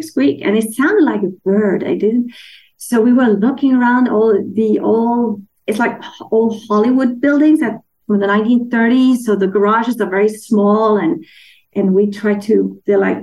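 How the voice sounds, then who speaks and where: A woman from Denmark, telling anecdotes casually as an interview guest in a halting, animated way with quoted asides. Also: mid-range voice, recorded from a distance, rough recording. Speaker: interview guest, children's book author.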